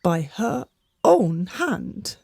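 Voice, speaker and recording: A woman's voice: lowish voice, big pitch swings, extremely slow, vocal fry, darkish tone, no echo, studio quality, heavily acted. A woman playing a fretful, gossiping servant of a noble house in a radio drama, melodramatic and confiding. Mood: gossipy, pitying.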